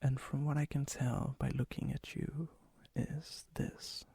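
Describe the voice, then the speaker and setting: A man playing a charming, ancient vampire and hopeless romantic in a soft-spoken, often whispered ASMR roleplay, slow, intimate and courteous, with a breathy, husky voice. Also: mid-range voice, little pitch movement, no echo, full character voice. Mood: flirtatious, courteous. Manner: slow, intimate, teasing, old-fashioned courtesy. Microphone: close mic, dry sound.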